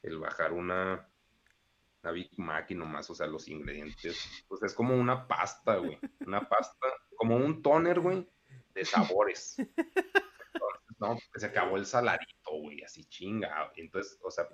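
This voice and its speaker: A man's voice: low voice, very animated, a little slow, fairly bright tone, lo-fi sound. A man in his thirties with a Mexican accent, talking in a rambling, slangy casual chat. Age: 30s. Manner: slangy, rambling, peppers speech with "güey".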